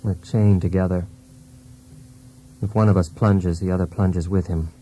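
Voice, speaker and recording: Radio play: a dependent, tormented husband; deep-toned and dark, inward-looking delivery. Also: male voice, steady tone, a little slow, slight background noise, low-quality recording. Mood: brooding, solemn. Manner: brooding, introspective, theatrical. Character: anguished, dependent husband in a failed marriage. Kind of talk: radio drama monologue.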